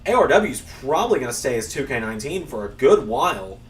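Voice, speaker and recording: A man's voice: mid-range voice, very animated, fast. Rambling male gaming streamer, slightly distant mic. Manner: rambling, self-mocking, swears. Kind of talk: casual live-stream chat while playing.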